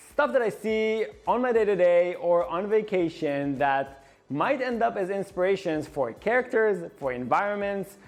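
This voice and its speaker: A man, an animation director, giving earnest scripted devlog narration and slowing down for emphasis. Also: high-pitched.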